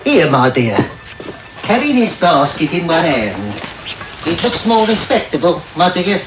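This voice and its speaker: An actor playing a sly old gang master in a radio drama, unctuous, drawling and wheedling. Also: male voice, fairly high pitch, big pitch swings, a little slow. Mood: oily, coaxing. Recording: echoey, distant, hissy radio tape.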